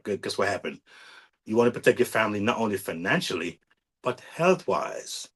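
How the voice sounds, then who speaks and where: Man in his forties, husky, low-pitched, casual motivational podcast host, keeps saying "all right?". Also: a bit bright. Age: 40s. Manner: earnest, self-promoting coach.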